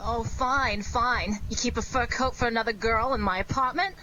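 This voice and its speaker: Sleuth's miffed lady friend, female actor: dry sarcasm, bright tone, slightly noisy radio-play recording. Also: a little high, steady tone, normal speed, muffled recording. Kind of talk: 1940s radio detective drama acting.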